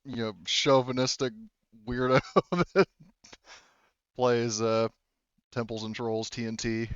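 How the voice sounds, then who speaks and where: A man with a clean, smooth voice talks casually as a game master. He rambles and breaks off his own sentences as he sets scenes. The sound is dry and a little dull. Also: medium pitch, flat delivery, slow, no echo. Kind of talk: casual tabletop roleplay narration and table talk.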